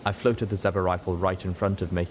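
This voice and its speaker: A man with a very low voice narrates a first-person tale. His reading is scripted and dramatic, like an audiobook. There is clear noise behind him, including gunfire effects. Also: level tone, fast. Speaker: narrator, story reader.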